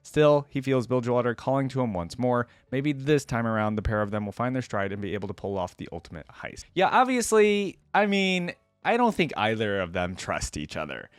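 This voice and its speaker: A man's voice: medium pitch, big pitch swings, a little fast, clean tone, no room sound, soft background music. A man with a mid-range voice reads game lore aloud as the host of a reaction video. He slips between narration and casual, conversational commentary. The sound is dry, with very little room in it.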